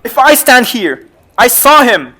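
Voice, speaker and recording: A man's voice: very high voice, big pitch swings, measured pace, pushed and bright, exaggerated voice. An actor playing a guilt-haunted, raving king in a dramatic reading of Shakespeare. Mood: terrified, raving.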